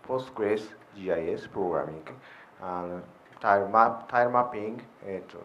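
A man introducing himself in halting English, searching for words, in a large lecture hall. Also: on the low side, highs cut off. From Japan. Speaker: audience member introducing himself.

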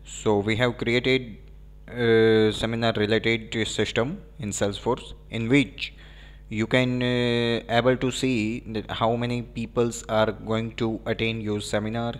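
A man with an Indian English accent giving a screen-recorded software walkthrough, pausing often with fillers. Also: medium pitch, flat delivery. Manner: hesitant, frequent filler pauses. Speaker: software demo presenter.

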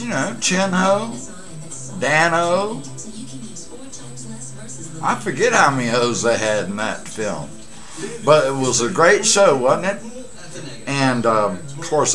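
Slow, lively-pitched man in his late fifties. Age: late 50s. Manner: halting, pausing delivery with asides. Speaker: amateur vlogger and CB radio hobbyist. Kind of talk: casual rambling webcam chat. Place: small cluttered room at home. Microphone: webcam setup at desk distance.

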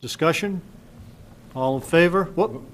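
A man chairing a town council meeting, reading agenda items briskly into a desk microphone in a council chamber. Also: on the high side.

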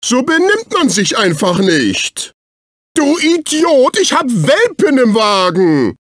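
High-pitched, prissy cartoon assistant. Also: male voice, wildly swooping pitch, takes it slowly, a bit bright, no room sound, studio quality, heavily acted. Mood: indignant, haughty. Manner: prissy, exclamatory, condescending. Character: fussy, snobbish, servile male executive assistant, cartoon. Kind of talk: dubbed cartoon video game voice clips. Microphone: close studio microphone.

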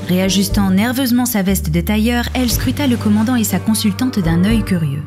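A woman's voice: mid-range voice, brisk. Woman narrating fiction over music. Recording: music under the voice.

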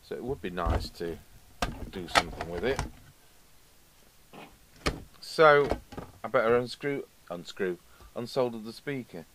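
A man's voice: lowish voice, expressive, a little slow, long pauses, fairly bright tone, slight background noise, low-quality recording. A middle-aged man giving casual hands-on repair commentary, musing aloud to himself as he works. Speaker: radio repair video host. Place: indoor workbench, overhead camera.

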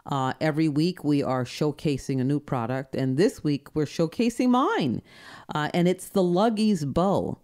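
A woman's voice: sits low, normal speed, darkish tone. A woman hosting a podcast in a studio, chatting in an effusive, upbeat way. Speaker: podcast host. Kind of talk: casual chat.

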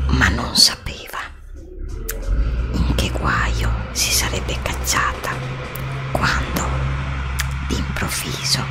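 Slow whispering woman storyteller. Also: very deep voice. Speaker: ASMR storyteller. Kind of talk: whispered ASMR story narration.

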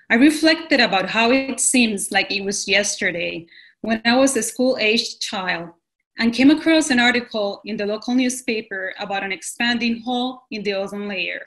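A woman from Rico speaks with a slightly high voice. She presents as a pediatrician in an earnest, measured manner, reading her script over a video call from a room at home. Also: expressive, low-quality recording. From Puerto Rico. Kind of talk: prepared presentation.

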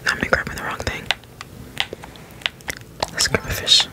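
A woman whispering soft, rambling ASMR commentary over gameplay in an unhurried way. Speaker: let's play streamer.

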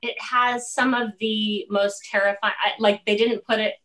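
Female host, middle-aged, average pitch, informal interview chat with halting, emphatic delivery. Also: level tone, a bit bright. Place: indoor home room, on a video call. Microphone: video call.

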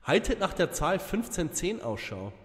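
A man plays a sceptical, sharp-witted young detective in a parody audio drama, with a dry manner. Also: high voice, very animated, normal speed. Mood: focused. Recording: long reverb, music behind.

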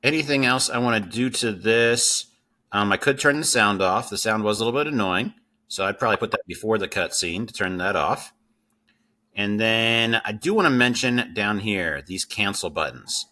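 A man's voice: lowish voice, moderate pace, pushed and bright. A middle-aged man hosting a casual screen-share coding tutorial. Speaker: tutorial host.

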